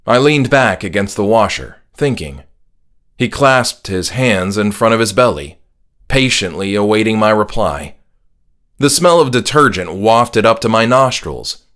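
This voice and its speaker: Slow, low-voiced man narrating horror. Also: a bit bright. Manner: measured, somber. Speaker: author reading his own horror story. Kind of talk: dramatic first-person audiobook narration.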